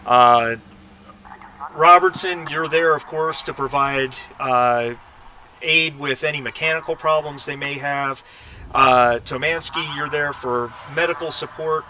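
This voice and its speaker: Bright-voiced man running a tabletop game. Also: fairly high pitch, unhurried, slight background noise, low-quality recording. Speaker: game master. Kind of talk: narrating a tabletop role-playing game, improvised.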